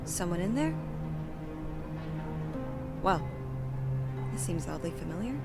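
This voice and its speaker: A woman's voice: on the low side, animated, slow pace, loud music behind the voice. A woman acting as a defiant, hot-tempered police captain in an audio drama, intense and emotional. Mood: alarmed, urgent.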